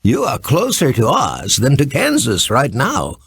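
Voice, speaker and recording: A man's voice: mid-range voice, huge pitch swings, a little slow, creaky, a bit bright, breathy edge, dry recording, voice pushed up, exaggerated voice. A man voicing a genial, courteous fox king in a children's storybook, with a grand, exclaiming manner. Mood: grand, eager.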